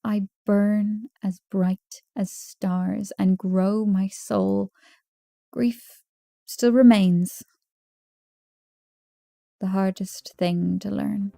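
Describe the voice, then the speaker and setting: A woman reciting poetry in a measured, deliberate way, pausing between short phrases. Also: mid-range voice, fairly even intonation, creaky, mellow, no echo, studio quality. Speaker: poetry reader.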